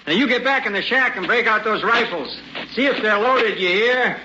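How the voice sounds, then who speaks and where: An actor playing a gruff, wary prospector father with folksy rural speech in an old radio drama. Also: high-pitched, moderate pace, sharp tone. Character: gruff, suspicious prospector father, rough frontier homesteader. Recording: muffled, noisy old radio recording.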